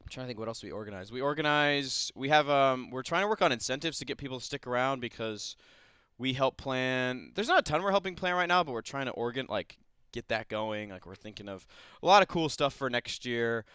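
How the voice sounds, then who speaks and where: A man's voice: medium pitch, expressive, brisk, pushed and bright, clear, resonant tone, no room sound, studio quality. Young man, rambling and earnest. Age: young adult. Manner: rambling, many restarts, earnest and upbeat. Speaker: student club president, studio guest. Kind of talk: casual interview chat. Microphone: studio mic.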